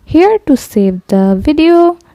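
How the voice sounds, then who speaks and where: A woman's voice: high-pitched, sing-song, takes it slowly, dark tone, no room sound, studio quality. A woman presenting a scripted step-by-step app tutorial voice-over, addressing viewers in simple instructional phrases.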